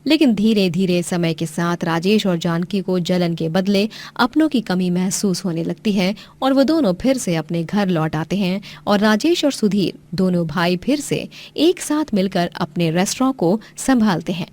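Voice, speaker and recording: A woman's voice: mid-range voice, darkish tone. A woman narrator reading a scripted moral story.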